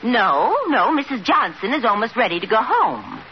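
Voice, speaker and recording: An actress playing a brisk, cheerfully insistent hospital nurse in an old radio comedy. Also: female voice, fairly high pitch, sing-song. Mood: firm. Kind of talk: 1950s radio comedy acting. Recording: muffled, noisy old radio recording, studio audience.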